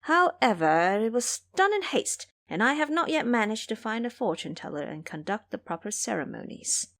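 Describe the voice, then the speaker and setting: Shrewd matronly woman, as a female narrator plays her, bustling, fussy, commanding, lively intonation, audio drama. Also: on the high side, normal speed. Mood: brisk, persuasive. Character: shrewd, bustling matronly mother-in-law of a rich household.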